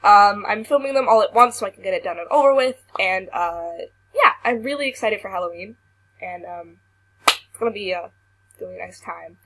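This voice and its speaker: A woman's voice: medium pitch, animated, fairly bright tone, rough recording. A young woman who is sick and losing her voice, reading a creepy story aloud with some stumbles, then chatting casually to her viewers. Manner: halting reading that stumbles and restarts words. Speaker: YouTuber.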